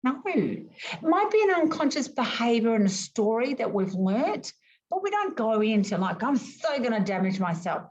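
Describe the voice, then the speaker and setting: A woman whose first languages were Greek and Arabic, teaching an online class over a video call in a lively, emphatic way full of rhetorical questions. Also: a little high, moderate pace, highs cut off.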